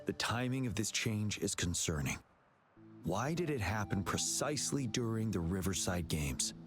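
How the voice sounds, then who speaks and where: A stern military commander from a video game, acted by a man, with a low voice and lively intonation. He is terse and resolute, with music playing behind him. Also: moderate pace, lightly acted. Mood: serious, concerned. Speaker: game character actor. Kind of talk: scripted video game dialogue.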